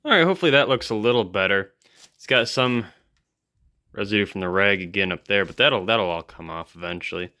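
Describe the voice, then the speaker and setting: A man's voice: on the low side, brisk, bright, forceful tone. A man giving casual hands-on repair commentary in an offhand, dryly joking way. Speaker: electronics restoration video host.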